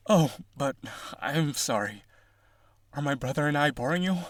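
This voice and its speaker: A man's voice: a little high, lively intonation, normal speed, close to an everyday voice. A man playing a lonely, boastful vampire aristocrat in an audio roleplay, genteel, dramatic and insistent in his possessive wooing. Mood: coaxing, boastful. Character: lonely, boastful rich vampire brother, possessive yandere suitor.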